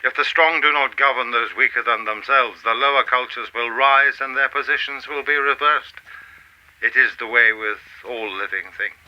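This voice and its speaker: A man playing a cold, mysterious visitor from an advanced civilization in a radio play, speaking in a measured, formal, authoritative way. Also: medium pitch, muffled recording, slight background noise, natural voice. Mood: stern, ominous.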